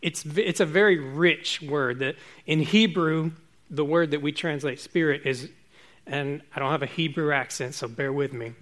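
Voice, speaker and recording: A man preaching a relaxed, informal sermon to his congregation, chatty and self-joking. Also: a little high, moderate pace.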